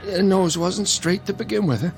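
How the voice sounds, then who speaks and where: A man acting in a radio drama as a beaten-up, protective older brother, streetwise and wisecracking, talking in a wry, halting way. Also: high-pitched, vocal fry, faint music behind. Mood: pained, wry.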